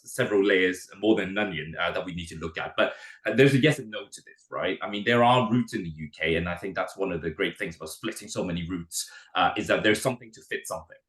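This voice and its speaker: A hoarse man with a cold explains visa routes at a rapid clip. His voice sits very low, and he stumbles over repeated words. The room around him echoes. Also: fairly bright tone. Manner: fast, with many repeated words and restarts. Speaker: immigration adviser. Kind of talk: webinar presentation.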